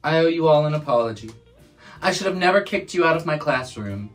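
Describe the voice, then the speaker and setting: A reader putting on a quick, eager hedgehog teacher. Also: mid-range voice, lively intonation, distant mic, faint music behind, natural voice. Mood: apologetic.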